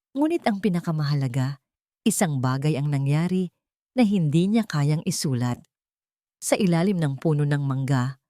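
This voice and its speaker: Dark-voiced, low-pitched Filipino woman narrating drama. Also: dry recording, studio quality. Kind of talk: scripted dramatic story narration.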